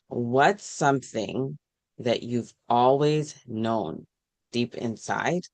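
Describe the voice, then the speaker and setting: A man co-hosts a spiritual podcast over a video call, calm and affirming as he asks his question. He speaks very slowly and deliberately. The recording is dry, with no room echo. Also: medium pitch. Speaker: podcast co-host.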